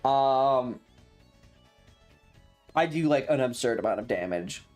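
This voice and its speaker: Casting: streamer with a medium-pitched voice, casual and profane, emphatic dramatic storytelling for a chat audience, music underneath. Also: animated, slow, darkish tone. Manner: emphatic, repeats phrases for drama; casual, swearing. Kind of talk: animated storytelling to a livestream chat about a tabletop game.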